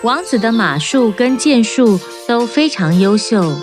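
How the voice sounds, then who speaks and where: A woman narrating a children's fairy-tale cartoon in a clear storybook manner. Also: fairly high pitch, expressive, a little slow. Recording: narration over prominent music.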